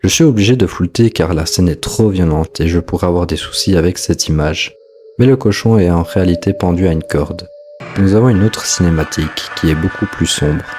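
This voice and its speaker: A man's voice: low-pitched, fairly quick, darkish tone. A man hosting and narrating a scripted explainer of a horror story. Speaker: host, narrator. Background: music.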